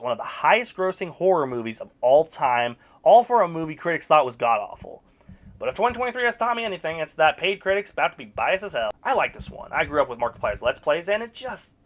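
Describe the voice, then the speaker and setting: Lively sarcastic young movie reviewer. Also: mid-range voice. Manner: sarcastic, animated, blunt verdicts. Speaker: video reviewer. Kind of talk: scripted movie review commentary.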